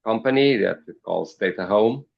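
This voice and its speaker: A man from Portugal with a Portuguese accent, giving interview answers as a podcast guest in a halting, hesitant way, often repeating words. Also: fairly low pitch, animated, gravelly, highs cut off. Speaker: podcast guest, company founder.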